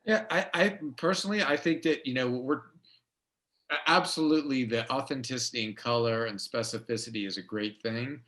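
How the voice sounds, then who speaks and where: A man giving script notes in a measured, diplomatic, conversational way during a feedback discussion. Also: mid-range voice, a bit bright.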